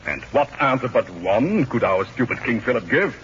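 An actor playing a treacherous, ambitious Spanish general in a 1940s radio drama, suave and menacing in manner. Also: male voice, medium pitch, sing-song, moderate pace, fairly bright tone. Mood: scheming. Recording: muffled 1940s radio broadcast, faint noise.

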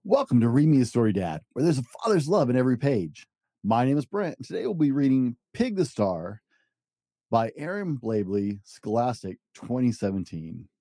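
A man reads a children's picture book aloud in a warm, mellow voice with plenty of rise and fall. His storytelling is gentle and animated, close and dry to the mic. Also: mid-range voice, moderate pace, dry recording. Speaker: podcast host and dad reading to children.

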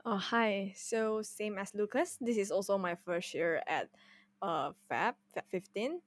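A woman's voice: mid-range voice, measured pace, no room sound. A young woman in her twenties from Indonesia, a podcast guest speaking in a friendly, slightly hesitant way. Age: 20s. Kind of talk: casual podcast chat.

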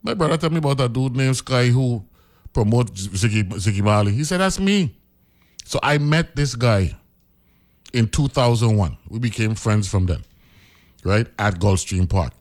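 A man's voice: medium pitch, animated, moderate pace, a little mellow. A middle-aged man from the Virgin Islands with a Virgin Islands accent, a radio host telling a story in casual commentary and often tagging his sentences with "right?". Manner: conversational storytelling, keeps asking "right?".

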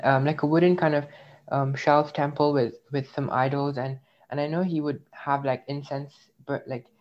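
A man's voice: a little high, steady tone, normal speed. Young guy from india, grew up in the uae, thoughtful reflective storytelling, kinda dull lo-fi sound. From India, raised in the UAE. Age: young adult. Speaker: interview guest, graduate student. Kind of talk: personal testimony in conversation.

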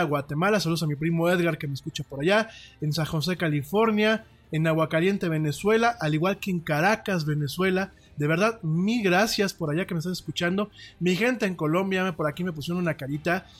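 Mexican male podcast host, a bit high-pitched, talky and rambling, jumps up in pitch when he gets emphatic. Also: fairly quick. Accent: Mexican Spanish. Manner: chatty, rambling, frequent restarts and fillers. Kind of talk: casual solo podcast chat.

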